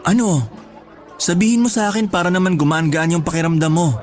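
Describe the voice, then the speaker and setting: A man's voice: sits high, a little fast, lightly acted. An actor playing a playful, sweet-talking boyfriend who is devoted to his partner, in a radio drama. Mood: teasing, caring. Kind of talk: Tagalog radio drama acting. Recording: music bed under dialogue.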